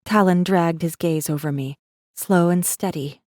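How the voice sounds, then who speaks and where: A guarded rebel messenger, voiced by a woman, tells her story in a deep, dark female voice. The audiobook delivery is tense, measured and dramatic, with a very dry, close sound. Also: no room sound, natural voice. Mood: haunted. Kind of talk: dramatic first-person audiobook narration.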